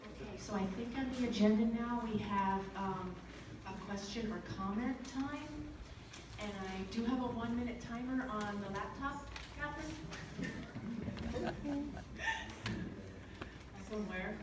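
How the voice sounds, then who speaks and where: A woman's voice: fairly high pitch, unhurried, long pauses. A woman organizing a community meeting, casually calling for comments with a friendly, loose manner. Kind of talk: running a public meeting. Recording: very echoey hall, distant, noisy.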